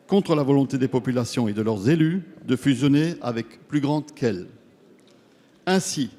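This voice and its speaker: Mellow-voiced man in a reverberant hall. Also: mid-range voice. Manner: measured, formal, read-out delivery. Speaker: member of parliament asking a question. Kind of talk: formal prepared parliamentary speech. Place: large parliament chamber. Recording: long reverb of a large hall.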